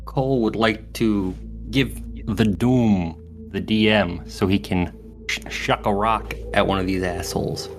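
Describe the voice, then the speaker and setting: Lower-pitched male tabletop player over music. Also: unhurried, vocal fry. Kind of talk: casual game-table chat.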